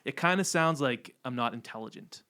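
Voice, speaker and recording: A man's voice: mid-range voice, expressive, brisk, pushed and bright, clean tone. A young man from the Boston area with a Boston accent, co-hosting a casual podcast and telling stories with a laugh and the odd swear. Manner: chatty storyteller, laughs and swears. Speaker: podcast co-host. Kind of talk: casual chat.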